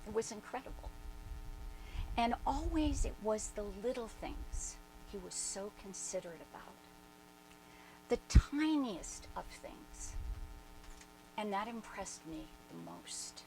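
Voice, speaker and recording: A woman performing a dramatic reading as an awestruck devotee who fondly recalls her spiritual master, theatrical and emphatic, with deliberate pauses. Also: medium pitch, animated, warm tone. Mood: marveling, fond. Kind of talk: dramatic reading of a first-person reminiscence. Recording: noisy live recording.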